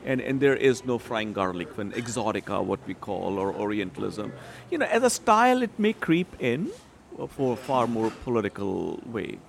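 A man's voice: lowish voice, sing-song, normal speed, gravelly, a little hiss or hum. A middle-aged man from Pakistan, a writer and translator giving discursive interview answers with many fillers. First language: Urdu or Punjabi.

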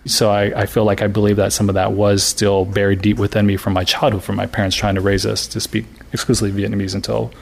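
Low gravelly-voiced man chatting casually. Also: fast, few pauses. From United States. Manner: relaxed, chatty, many fillers and restarts. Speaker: interviewee, graphic novelist. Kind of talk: casual interview talk.

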